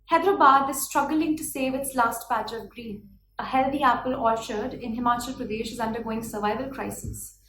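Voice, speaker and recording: A woman's voice: fairly high pitch, normal speed, a bit bright. A woman of twenty with an Indian accent, delivering a prepared, earnest speech full of rhetorical questions. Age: 20s. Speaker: speaker. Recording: echoey room, faint background noise.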